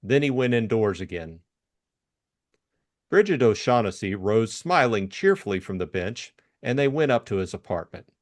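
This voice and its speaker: Male narrator with very lively intonation. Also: lowish voice, normal speed. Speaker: audiobook narrator and co-host. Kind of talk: scripted story narration and book discussion.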